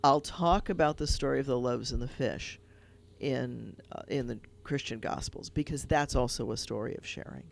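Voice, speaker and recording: Gravelly rabbi host telling a fable. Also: male voice, mid-range voice, normal speed, a little hiss or hum. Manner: animated storyteller, retelling a fable. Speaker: rabbi hosting a radio show. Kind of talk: casual radio conversation and storytelling.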